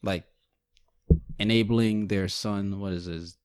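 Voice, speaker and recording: A man's voice: on the low side, fairly even intonation, takes it slowly, darkish tone, clear, resonant tone, no room sound. A young man from Guyana co-hosting a podcast, blunt and joking as he poses questions in casual chat. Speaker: podcast co-host.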